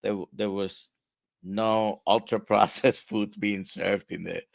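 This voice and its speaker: A man's voice: lowish voice, fairly even intonation, slow pace, gravelly, no echo. A male doctor from Puerto Rico, explaining diet to an interviewer in an emphatic, conversational way with rhetorical questions.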